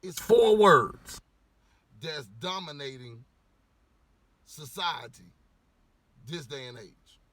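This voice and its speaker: A man ranting casually to camera, a little hoarse from losing his voice, rambling with lots of "you know" and swearing bluntly. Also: a little high, lively intonation, slow, long pauses, fairly bright tone. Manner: rambling, repeats words, frequent "you know". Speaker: vlogger giving opinions.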